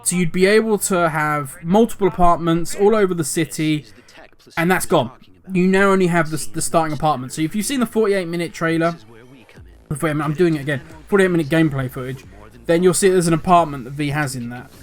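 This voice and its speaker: A man's voice: a little high, fast. An older man hosting a gaming channel, talking through his views in a rambling, self-correcting, somewhat cynical way. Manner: cynical, grumbling. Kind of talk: casual commentary video.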